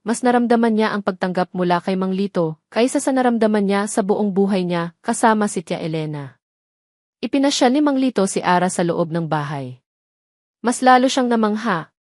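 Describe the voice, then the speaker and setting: Woman storyteller reading a scripted drama, medium pitch, really dry sound. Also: a little mellow, no echo. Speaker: story narrator.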